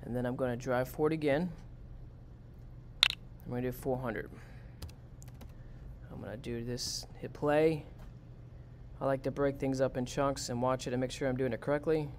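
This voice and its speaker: A man's voice: medium pitch, steady tone, normal speed, long pauses, darkish tone, a little hiss or hum. A man, a teacher, walking his class step by step through a robot programming task in a screen-recorded lesson. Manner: plain, step-by-step, talks students through it.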